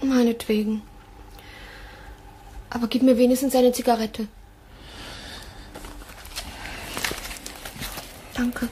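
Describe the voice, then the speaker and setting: A woman's voice: on the high side, little pitch movement, normal speed, mellow, a little hiss or hum, natural voice. An actress playing a young woman, weary and reproachful, in a German radio drama. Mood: sulky, relenting.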